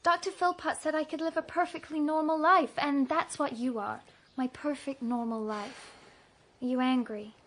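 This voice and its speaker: Sweet young wife in a radio play, high voice, soft n coaxing, eager to please. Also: female voice, fairly even intonation, normal speed. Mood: placating. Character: gentle, affectionate young wife, anxious to please. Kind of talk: radio drama acting.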